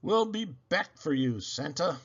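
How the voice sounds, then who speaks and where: Eager kid helper for a storybook, played by a man: raised pitch, earnest, bouncy intonation. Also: unhurried, dull audio, exaggerated voice. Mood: excited. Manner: excited, earnest. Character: eager, excitable young boy, Santa's helper.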